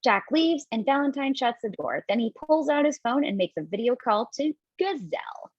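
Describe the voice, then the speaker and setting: A woman's voice: high voice, lively intonation, normal speed, breathy edge, no echo. A woman reads out the scene settings and actions of a script for a home table read. Her narration is plain and matter-of-fact. The sound is dry and a bit dull. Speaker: stage-direction reader. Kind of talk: reading script stage directions.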